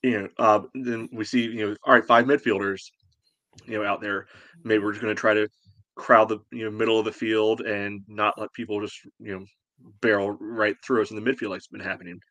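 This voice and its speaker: A man's voice: lowish voice, level tone, fairly quick, a bit bright, no echo. A man co-hosting a podcast in casual sports talk, thinking aloud and hedging as he goes. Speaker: podcast co-host.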